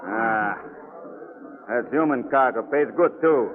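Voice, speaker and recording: A man's voice: medium pitch, slow pace, narrow, tinny audio, natural voice. An actor playing a ruthless, gloating smuggler sea captain with sneering tough-guy slang, in 1940s radio drama style. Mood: gruff, commanding. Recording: thin, noisy 1940s radio recording.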